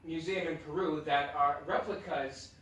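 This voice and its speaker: A man's voice: fairly high pitch, fairly even intonation, normal speed, fairly bright tone, lightly acted. A man playing an earnest comedy panel contestant who insists on a tall tale. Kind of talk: telling an anecdote on a comedy stage. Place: live theatre stage. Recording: echoey stage from far off, faint noise.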